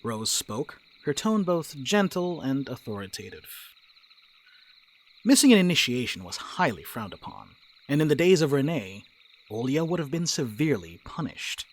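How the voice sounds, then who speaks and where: A man's voice: mid-range voice, sing-song, normal speed, slight background noise, low-quality recording. A man reading scripted narration for a dramatic reading. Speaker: narrator reading stage directions.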